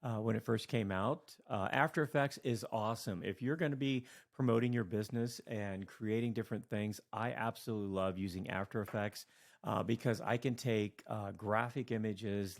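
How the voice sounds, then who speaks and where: Sleepy middle-aged guy, gravelly lowish voice, lots of ums, casual software rundown. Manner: rambling, many fillers. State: tired, yawning late at night. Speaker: teacher and video creator.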